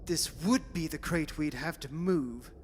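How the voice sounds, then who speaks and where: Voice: mid-range voice, unhurried, darkish tone, natural voice. An actor playing a polite, earnest young fiancé in a radio play. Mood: earnest, dutiful. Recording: echoey hall, faint background hiss.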